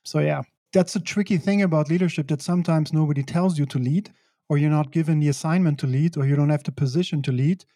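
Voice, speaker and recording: A middle-aged man from Belgium, speaking as an interview guest in a reflective, measured way with occasional laughs. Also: a little high, mellow, dry recording, studio quality. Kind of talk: conversational interview.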